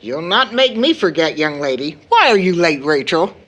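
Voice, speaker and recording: A woman's voice: fairly low pitch, big pitch swings, moderate pace, a bit bright. Proper, scolding mother, swooping intonation. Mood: fondly scolding. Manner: scolding, proper, precise phrasing. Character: tired, stern but fond middle-aged mother, a seamstress. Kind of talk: stage-style period audio drama acting.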